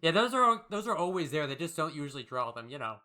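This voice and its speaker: A man's voice: on the high side, sing-song, fast, bright, forceful tone. A man co-hosting a casual comic discussion, riffing playfully as he goes. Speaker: co-host. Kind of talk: casual comic commentary chat.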